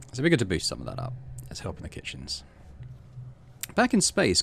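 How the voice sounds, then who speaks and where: Man with very animated pitch, musing game commentary. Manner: musing, thinking aloud. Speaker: gaming channel host. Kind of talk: casual game commentary and narrated text reading.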